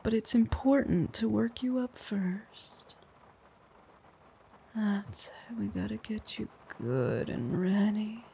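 A woman speaking in an intimate whispered audio roleplay, mostly soft whispers with low murmured hums, in a coaxing, praising tone. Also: animated, slow, vocal fry, a little hiss or hum.

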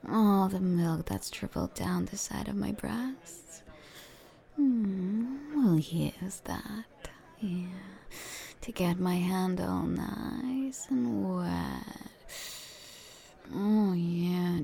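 A woman speaking in a soft, intimate, whispered roleplay, soothing and coaxing, with pet names and murmured hums. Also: mid-range voice, animated, very slow, creaky.

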